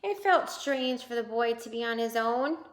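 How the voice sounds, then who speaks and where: A woman reading a picture book aloud to young children in an expressive way, pausing to point out the pictures. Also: on the high side. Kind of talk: children's read-aloud storytelling. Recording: roomy, echoing indoor sound.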